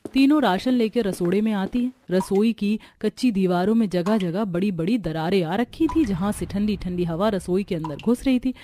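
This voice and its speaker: A woman's voice: medium pitch, normal speed, warm tone, a little hiss or hum. A woman narrating a scripted cartoon story.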